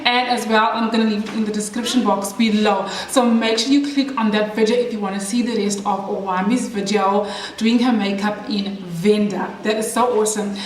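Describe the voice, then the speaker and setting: A young woman, chatting bubbly and excitedly through a makeup tutorial on camera in a small room with kids nearby. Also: medium pitch, brisk. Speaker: makeup vlogger. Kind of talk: casual beauty tutorial chat. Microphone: camera in front, head and shoulders framing. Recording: roomy echo with household noise.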